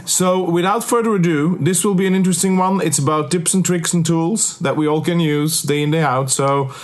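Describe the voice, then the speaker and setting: A man hosting a podcast interview, relaxed and full of filler words. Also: on the high side, fairly quick. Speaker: podcast host. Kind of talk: casual interview.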